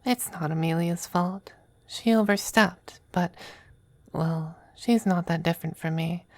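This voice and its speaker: Woman playing a creaky, low-voiced, possessive demon femboy. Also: no echo, clean studio sound. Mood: weary, tender. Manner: soft, breathy, unhurried, often dropping to whispers. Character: possessive, doting, androgynous male demon lover, centuries old. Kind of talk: intimate ASMR roleplay. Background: faint music.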